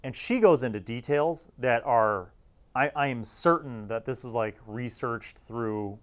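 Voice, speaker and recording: A man's voice: mid-range voice, a little slow. A man in his forties hosting a casual review, talking conversationally with emphatic asides into a lapel mic. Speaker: host of a tabletop gaming review series. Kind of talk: casual review and commentary on a magazine.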